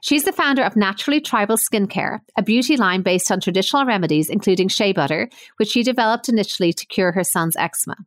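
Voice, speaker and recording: A woman's voice: mid-range voice, fast, hardly any pauses, no echo. Woman podcast host, dry close sound. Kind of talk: interview hosting.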